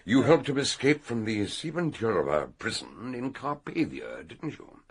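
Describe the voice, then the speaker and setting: A man's voice: medium pitch, very animated, a little slow, breathy edge, exaggerated voice. An actor playing a shrewd, imposing scholarly detective in a radio drama, assured and probing as he narrates and questions.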